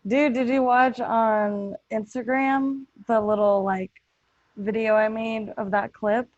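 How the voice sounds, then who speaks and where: Higher-voiced woman, casual podcast host. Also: steady tone, slow pace, a little mellow, no echo. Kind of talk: casual group chat. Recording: muffled, dull lo-fi podcast audio.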